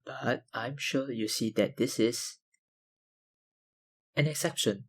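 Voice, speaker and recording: A man playing an obsessive, menacingly polite vampire researcher in an intimate ASMR roleplay, with deliberate, insinuating phrasing and a breathy voice that often drops to a whisper. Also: mid-range voice. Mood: calm, reassuring. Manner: slow, deliberate, politely insinuating, with sighs and pauses.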